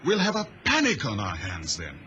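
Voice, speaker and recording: Anxious radio officer, lively pitch, bright tone, radio drama, faint noise. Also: male voice, normal speed, heavily acted. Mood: anxious, wry. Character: ship's radio operator, wry and anxious. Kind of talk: vintage radio drama acting. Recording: noisy vintage radio drama recording.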